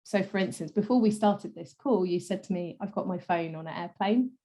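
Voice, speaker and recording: A woman guest with a croaky voice, a communication coach in a conversational interview, thoughtful, using fillers, her sentence endings trailing off softly. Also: lowish voice, fairly quick.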